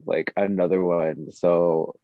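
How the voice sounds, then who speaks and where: A man's voice: deep voice, little pitch movement, slow pace. A man speaking as a music artist guest in a casual interview, informal and hesitant, leaning on filler words. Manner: hesitant, filler-heavy, informal speech with frequent "like". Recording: dull, echoey lo-fi room sound.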